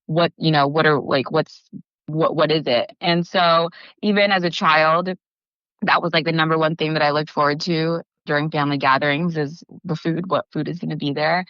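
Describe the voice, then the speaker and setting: A woman's voice: low-pitched, fairly even intonation, normal speed, dry recording, dull audio. A young woman, born in the Philippines and raised in California, chatting as a guest about her bakery, with lots of fillers and restarts. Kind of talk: casual interview talk.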